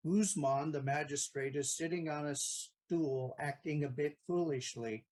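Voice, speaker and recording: A man's voice: a little high, measured pace, darkish tone. A man narrating an audio play, reading out scripted stage directions.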